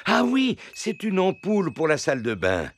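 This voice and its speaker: A man's voice: high voice, wildly swooping pitch, normal speed, slight background noise. A man voicing a good-natured father pig in a dubbed cartoon. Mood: easygoing. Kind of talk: cartoon dubbing.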